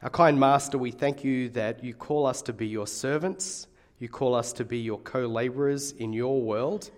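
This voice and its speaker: A middle-aged male preacher with a slight rasp in a medium-pitched voice. He delivers his sermon conversationally, in an earnest way, leaning on key phrases with deliberate emphasis. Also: steady tone. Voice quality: slightly raspy. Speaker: guest preacher and Bible college teacher.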